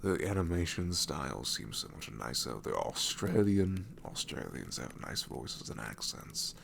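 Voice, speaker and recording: A man voicing a sassy gravelly shadow demon. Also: very deep voice, big pitch swings, measured pace, slight background noise, heavily acted. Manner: snarky, rambling, soft-spoken with whispered asides. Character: sassy male shadow demon, a father from hell. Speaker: ASMR roleplay performer. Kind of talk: ASMR roleplay.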